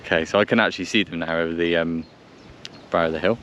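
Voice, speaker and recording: A man's voice: sits low, fairly even intonation, fast, a bit bright, highs cut off. A man presenting a casual outdoor vlog, thinking aloud and hesitating as he chats to camera and over a radio. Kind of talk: casual to-camera commentary and radio check chat. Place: outdoors by a vehicle in the countryside. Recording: noisy outdoor vehicle background.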